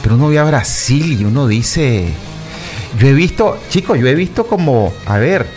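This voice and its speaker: A man's voice: medium pitch, animated, normal speed, a little mellow, soft background music. A man giving his football opinions to his regular listeners, rambling with restarts and fillers, calling them "chicos". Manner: rambling, repeats himself, many fillers and restarts. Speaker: football commentator. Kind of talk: casual opinion talk.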